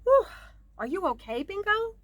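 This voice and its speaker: A woman's voice: very high voice, very animated, moderate pace, pitched above the performer's own voice. A woman voicing an imaginative little girl from a children's storybook, hesitant yet plucky, with emphatic childlike delivery. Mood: curious, concerned. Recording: clear background noise.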